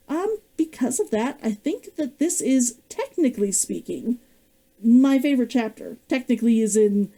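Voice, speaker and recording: A woman's voice: on the high side, mellow. A woman author reading her own novel aloud, then chatting about writing and laughing often. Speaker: author and streamer.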